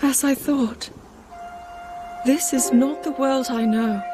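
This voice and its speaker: A young princess in a game cutscene. Her voice is high and unhurried, gentle and earnest, with a hesitant softness. Music plays behind her. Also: fairly even intonation. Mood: dawning realization. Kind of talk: dramatic game cutscene acting.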